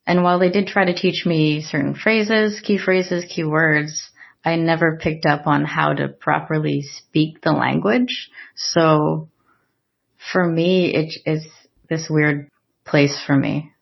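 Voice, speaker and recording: A woman co-hosting a casual podcast, speaking in a reflective, measured way. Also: sits low, steady tone, vocal fry. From Filipino family. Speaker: podcast co-host. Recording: slightly dull lo-fi sound.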